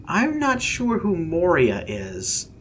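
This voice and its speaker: A man's voice: high-pitched, huge pitch swings, slow pace, fairly bright tone, slight background noise, voice pushed up, heavily acted. A man voicing a startled, hesitant young woman exploring a strange lab. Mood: uncertain. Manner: nervous, hesitant.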